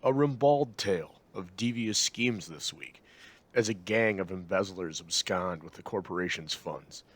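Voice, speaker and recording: A male host narrates a scripted introduction. His voice is very low in pitch and sits over a clean recording. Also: a little slow. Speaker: host introducing old radio shows.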